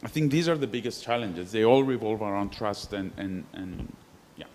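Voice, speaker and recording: A man with a Cypriot Greek accent, a professor speaking on a conference panel in an earnest, measured way with small restarts. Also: fairly low pitch, expressive, a little mellow. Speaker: panelist, professor of international relations. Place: conference hall.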